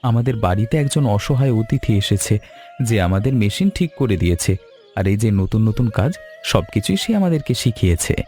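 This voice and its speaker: A man's voice: on the low side, animated, brisk, warm tone, soft background music, close to an everyday voice. A man playing an anxious, humble village weaver in a cartoon story, with a plaintive, earnest delivery. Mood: grateful.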